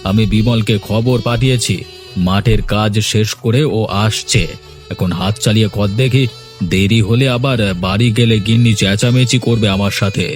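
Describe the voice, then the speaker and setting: A man voicing a bossy, quick-tempered rich warehouse owner in a cartoon story, berating his workers in exclamations. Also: on the low side, steady tone, soft background music, close to an everyday voice. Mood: grumbling, impatient. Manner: berating, hectoring, full of exclamations.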